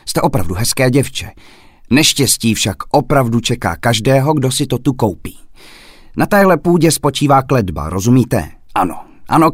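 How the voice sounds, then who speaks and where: A man voicing a fierce old female fortune-teller who gives slow, insistent, ominous warnings. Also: mid-range voice, no echo, clean studio sound, natural voice. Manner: menacing, insistent warnings, drawn-out slow phrases.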